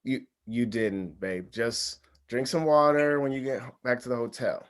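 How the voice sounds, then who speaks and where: A man's voice: medium pitch, normal speed, close to an everyday voice. A man playing a mild, sensible groom with a hesitant, understated manner in a comedy table read. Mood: mildly reproving. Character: mild, sensible groom, easily talked round.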